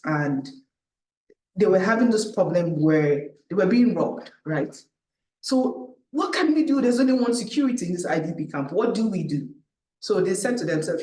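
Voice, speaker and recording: A woman tells her story to an audience with animated energy, acting out what she thought and checking in with "right?". She sits well back from the microphone. A large, echoing room carries her voice. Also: fairly low pitch. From Nigeria. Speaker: panel speaker. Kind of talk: personal talk at a public event. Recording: echoey room, distant mic.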